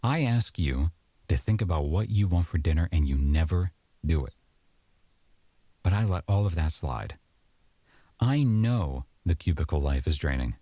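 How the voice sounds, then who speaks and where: A man's voice: sits low, mellow, husky, no room sound, full character voice. A man playing an aggrieved, sarcastic boyfriend arguing at home in an audio roleplay, accusatory and exasperated. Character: aggrieved, sarcastic boyfriend in a heated domestic argument.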